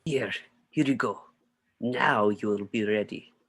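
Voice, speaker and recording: A man's voice: on the low side, measured pace, a bit bright, husky, exaggerated voice. A man voicing a scheming, gloating villain of creature fights, taunting and theatrical, in an amateur table reading. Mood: sly.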